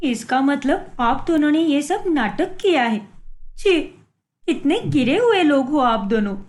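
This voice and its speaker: A woman's voice: sits high, animated, measured pace, a little mellow. A woman voicing an earnest, devoted young wife in a cartoon moral story, speaking emphatically. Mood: indignant. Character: devoted young wife, supportive, righteous when wronged.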